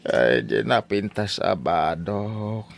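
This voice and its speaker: A man acts out a vain old Ilocano father in poor health. His voice sits low and gravelly, and he takes his words slowly, in the manner of audio comedy. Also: no room sound. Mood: feeble, vain. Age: older. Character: vain, ailing elderly father wanting smart clothes. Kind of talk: comedy audio drama acting.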